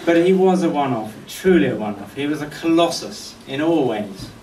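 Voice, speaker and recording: A middle-aged man giving a eulogy as a speech of humorous anecdotes, told in a wry, unhurried way. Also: fairly high pitch, expressive, darkish tone. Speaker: speaker giving a memorial tribute. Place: indoor function venue at a golf club, before an audience. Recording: echoey room, distant mic, faint noise.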